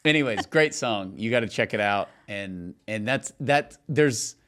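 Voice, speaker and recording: Animated low-voiced man bantering casually. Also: a little slow. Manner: animated, teasing, emphatic, filler-heavy. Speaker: podcast co-host.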